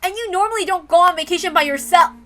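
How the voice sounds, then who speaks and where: A woman's voice: very high-pitched, quick pace, a bit bright, voice pushed up. A woman voicing an argumentative, sceptical girl who questions insistently and sounds exasperated, in a dramatic story reading. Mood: indignant. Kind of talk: dramatic reading of a visual novel.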